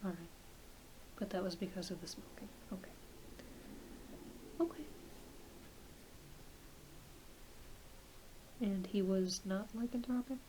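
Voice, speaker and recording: A woman voicing a gentle, reassuring doctor in a soft, mostly whispered ASMR medical roleplay, murmuring encouragement. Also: mid-range voice, moderate pace, slight background noise, close to an everyday voice, rough recording. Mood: conversational, attentive. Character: gentle, reassuring werewolf doctor giving an exam. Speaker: ASMR performer.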